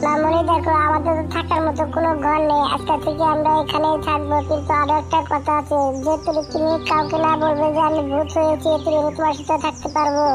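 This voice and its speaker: A woman's voice: high voice, completely flat delivery, fast, few pauses, over music, natural voice. A woman voicing a caring, admonishing mother bird in a children's cartoon story. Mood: tender, reassuring.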